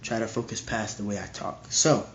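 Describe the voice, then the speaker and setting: A man with a stuffy nose casually reading Bible verses aloud and explaining them in slangy, animated commentary. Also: fairly low pitch, fairly quick, lo-fi sound. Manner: animated, slangy, acts out voices.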